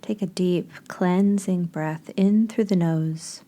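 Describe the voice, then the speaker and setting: A woman leading a guided meditation in a soft, breathy voice with gentle, unhurried, spaced phrases. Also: fairly low pitch, steady tone, slight background noise.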